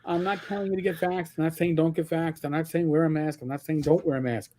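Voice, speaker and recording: High-voiced New York man hosting. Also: fairly even intonation, fast talker, dark tone, slight background noise. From Queens, New York. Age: older. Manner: rambling, swears freely, stammers and repeats words. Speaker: host. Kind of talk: casual livestream chat.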